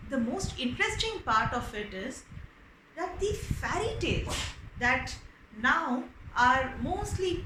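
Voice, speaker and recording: A woman telling stories for children, explaining as she goes, expressive and repeating words for emphasis. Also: high voice. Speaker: storyteller. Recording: roomy echo with faint background noise.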